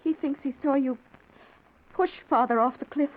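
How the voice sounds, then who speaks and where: An actress playing an earnest, distressed college-age daughter in a 1940s radio drama, pleading in manner. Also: female voice, sits high, moderate pace, natural voice. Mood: doubting. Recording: tinny old radio recording.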